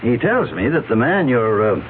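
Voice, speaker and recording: An actor playing a formal, measured attorney. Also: male voice, mid-range voice, sing-song, lightly acted. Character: formal, cautious attorney and boss man. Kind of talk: 1970s radio drama acting. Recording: tinny lo-fi old radio broadcast with faint hiss.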